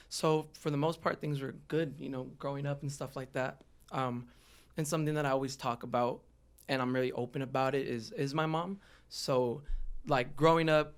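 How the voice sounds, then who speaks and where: A man's voice: mid-range voice, fairly bright tone, clear, resonant tone. A man from the Los Angeles area telling his own story as a podcast guest in a casual, slangy way. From Los Angeles area, United States. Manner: informal, slangy. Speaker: podcast guest, hip-hop artist.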